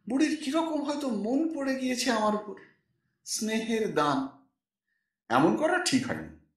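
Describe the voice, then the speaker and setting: A man narrating a literary story in the first person, with an expressive storyteller's delivery. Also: very high voice. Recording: very echoey, slightly distant microphone.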